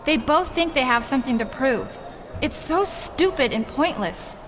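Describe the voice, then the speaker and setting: An actress playing an exasperated, pleading and bossy young wrestling manager in a video game cutscene. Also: female voice, high-pitched, animated, a little slow. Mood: earnest, pleading. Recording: heavy noise behind game audio.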